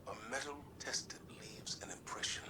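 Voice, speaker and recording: An actor playing a stern older male commander in a video game cutscene, speaking in a measured, reserved, near-whispered way. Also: fairly low pitch, lightly acted, low-quality recording. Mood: reflective.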